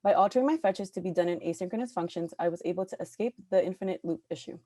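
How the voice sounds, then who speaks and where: A young woman presents her team's project, reading quickly from a prepared script. Her voice is mellow and warm, recorded close and dry. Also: lowish voice, dry recording. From born in Peru, raised in New York. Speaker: student presenter, product manager.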